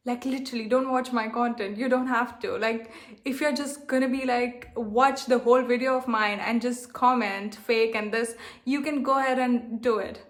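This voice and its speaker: A young woman from India with a softened, globalized Indian accent, talking candidly and defiantly straight to her viewers, repeating herself and leaning on "like". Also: a little high. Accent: Indian, softened toward a global accent. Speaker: self-love coach and channel host. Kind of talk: direct-to-camera vlog monologue.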